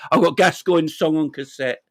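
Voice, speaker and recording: A man's voice: fairly high pitch, normal speed, a bit bright, dry recording, clean studio sound, pitched above the performer's own voice. A man voicing a dreamy young male footballer in a wistful, mocking sing-song, with a put-on northeast English accent that drifts toward Welsh.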